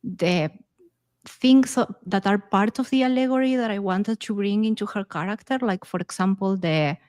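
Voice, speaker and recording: A woman's voice: mid-range voice, normal speed, a little mellow, no room sound, studio quality. A woman speaking casually as an author being interviewed. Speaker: author and podcaster, interview guest.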